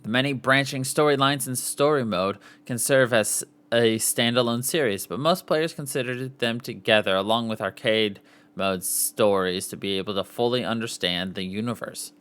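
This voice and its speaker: A man with a mid-pitched voice reads written material aloud as a podcast host. His delivery is informal, with the odd off-the-cuff aside and self-correction as he works through the text. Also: fairly bright tone. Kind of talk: reading an article aloud with casual asides.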